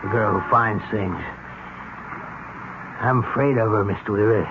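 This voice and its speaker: An actor playing a fearful, guilty working-class taxi driver, folksy and hesitant, in a 1970s radio drama. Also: male voice, lowish voice, a little slow, lightly acted. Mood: nervous, pleading. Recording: muffled old radio recording, loud hiss.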